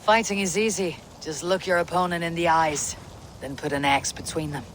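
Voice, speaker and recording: An actor playing a bold, battle-hardened Viking warrior leader in a video game cutscene. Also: male voice, a little high, steady tone, normal speed, fairly bright tone, a little hiss or hum, natural voice, poor audio. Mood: commanding.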